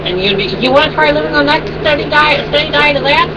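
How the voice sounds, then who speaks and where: A woman's voice: sits high, quick pace, distant mic, over a noisy crowd, low-quality recording. A woman chiming in during a casual home vlog, blunt and sarcastic, swearing freely. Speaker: vlogger's wife. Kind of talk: casual chat.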